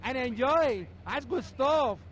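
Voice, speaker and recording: An actor playing a sleazy, friendly street drug dealer with a Spanish accent and ingratiating sales patter, in a video game cutscene. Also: male voice, very high voice, animated, takes it slowly, full character voice, low-quality recording. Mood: oily, eager.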